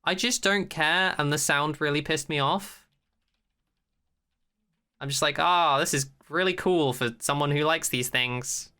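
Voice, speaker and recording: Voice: mid-range voice, unhurried, bright, forceful tone, smooth. A podcast co-host casually giving critical opinions on a TV show, swearing freely with some dry sarcasm.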